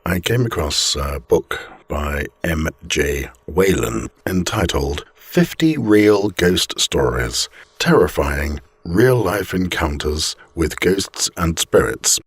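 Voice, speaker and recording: A man reading a scripted ghost story aloud as a storyteller, with measured, deliberate and theatrical phrasing. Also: low voice, expressive, slightly rough, no echo.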